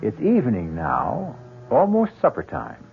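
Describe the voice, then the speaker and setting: A man hosting a radio mystery with ominous, deliberate, dramatic scripted narration. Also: mid-range voice, huge pitch swings, gravelly. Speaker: host and narrator. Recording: muffled 1970s radio broadcast. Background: music.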